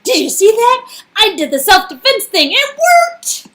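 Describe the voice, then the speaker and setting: A woman's voice: very high voice, very animated, a little slow, a bit bright. A woman performing game dialogue in an amateur acted reading, with some noise behind her. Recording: noisy, ambience behind.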